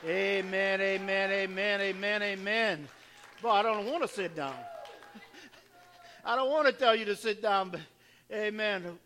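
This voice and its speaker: A male pastor leading a church service, fervently urging the congregation to praise and chuckling through casual asides, his voice a little hoarse. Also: high voice, a little slow, long pauses, pushed and bright. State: slightly hoarse from worshiping. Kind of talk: church service exhortation and announcements. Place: church sanctuary. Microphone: handheld or stage microphone.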